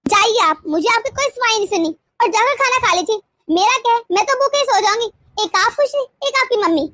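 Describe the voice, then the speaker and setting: एक औरत घरेलू मेलोड्रामा कहानी में जलन और चोट से भरी, रूठी, हुकूमत चाहने वाली जवान पत्नी का किरदार नाटकीय अंदाज़ में, शब्द दोहराते हुए निभा रही है।. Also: female voice, very high-pitched, a little fast. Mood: sulky, bitter. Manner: dramatic, repeats words when upset. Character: young wife, jealous, wounded, sulky and controlling. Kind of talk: melodramatic domestic story acting.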